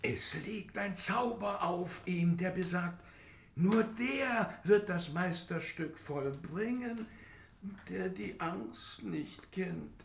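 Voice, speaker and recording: An actor playing a fretful, scheming dwarf smith in a fantasy radio play, complaining and agitated. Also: male voice, sits high, slow pace, gravelly, reverberant, slight background noise, exaggerated voice. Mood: evasive. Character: fretful, scheming dwarf smith, foster father of a young hero.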